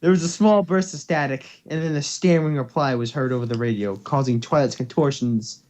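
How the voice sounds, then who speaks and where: A man's voice: mid-range voice, a little fast, darkish tone. A man reading fan fiction informally to a co-host over a voice call, stumbling over words and restarting. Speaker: story reader and co-host. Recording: noisy home voice call recording.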